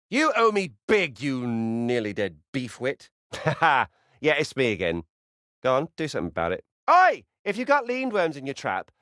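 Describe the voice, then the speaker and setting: A man voicing a rude, sarcastic, foul-mouthed squirrel spirit in a video game, swinging between muttered asides and brash yells. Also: a little high, no room sound, studio quality. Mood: bossy, impatient. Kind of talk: video game character acting.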